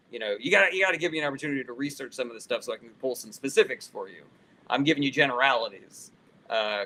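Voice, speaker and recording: A man's voice: a little high, pushed and bright, poor audio. A man hosting a casual live political Q&A, reading comments aloud and answering them in a conversational, opinionated, argumentative way. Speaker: live stream host answering viewer questions.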